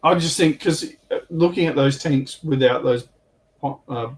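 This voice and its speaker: A man's voice: on the high side, moderate pace. A man chatting casually as a panelist. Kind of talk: casual hobby chat. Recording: distant from the microphone.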